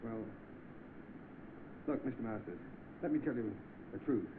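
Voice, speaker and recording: An actor playing an eager, hard-up young job seeker in 1930s film style. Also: male voice, medium pitch, a little slow, natural voice. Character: eager, hard-up young man seeking work. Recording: noisy narrow-band old film soundtrack.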